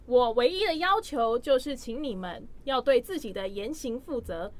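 A woman playing a brisk, encouraging college teacher addressing her class in a radio drama. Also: a little high, lively intonation, close to an everyday voice. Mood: firm.

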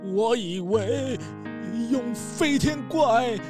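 An actor playing a remorseful, grieving old count in a children's audio drama, with halting, pleading speech. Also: male voice, extremely high pitch, lively intonation, full character voice. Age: older. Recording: loud background music.

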